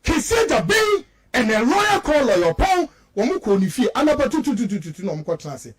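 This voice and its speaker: A man's voice: very high voice, big pitch swings, fast talker. A man with a Ghanaian accent giving impassioned political commentary as a radio host, emphatic and repetitive, firing off rhetorical questions. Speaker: radio talk show host.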